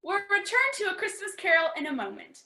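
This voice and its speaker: A woman announces a radio play in scripted old-time broadcast style. Her pitch is high and very animated, and the room adds noticeable echo. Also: quick pace. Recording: roomy, echoing voice recording.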